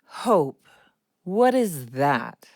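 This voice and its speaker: A woman playing a wary, brooding snake in an audio drama, speaking in a deliberate, ominous manner. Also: low-pitched, sing-song, a little mellow, no echo, clean studio sound, full character voice. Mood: puzzled.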